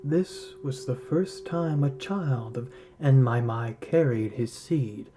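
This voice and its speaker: A man reading a literary story aloud as a narrator, in a measured, solemn storytelling manner. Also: mid-range voice. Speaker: podcast host reading a short story aloud.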